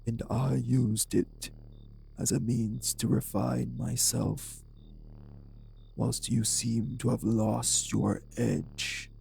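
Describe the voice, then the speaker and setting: A man playing a refined, bitter, possessive vampire sire in an audio drama, with formal, deliberate, menacing diction. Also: mid-range voice, little pitch movement, gravelly, dark tone, faint music behind, exaggerated voice. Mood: cold, controlled. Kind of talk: dramatic audio roleplay acting.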